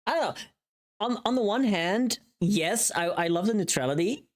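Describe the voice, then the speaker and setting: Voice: medium pitch, animated, moderate pace. A streamer chatting casually on a livestream in a joking, sweary manner. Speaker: streamer making a voice pack.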